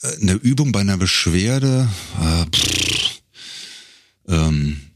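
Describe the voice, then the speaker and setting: A man's voice: low voice, lively intonation, slow, heavy background noise. A middle-aged man chatting casually as an interview guest, telling anecdotes with a joking touch. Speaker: podcast guest, professional voice-over speaker and singer. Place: close-miked in a dry room.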